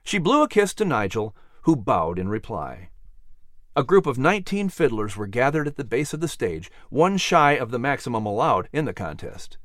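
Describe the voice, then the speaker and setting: A man narrating a story in an animated, dramatic, expressive style. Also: medium pitch, moderate pace, dry recording, clean studio sound. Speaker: audiobook narrator.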